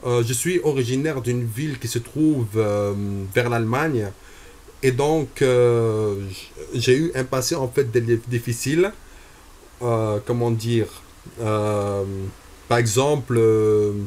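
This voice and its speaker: A man in his twenties from Algeria, giving a personal religious testimony, slow and deliberate with frequent hesitations. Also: mid-range voice, a little mellow. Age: 20s.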